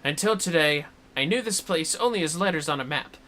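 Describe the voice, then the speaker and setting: A solemn shinobi master from a game, read out by a woman gives instruction with reverence. The delivery is formal and measured, pitched a little low and kept grave. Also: animated, a bit bright. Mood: solemn, reverent. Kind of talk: game dialogue read aloud.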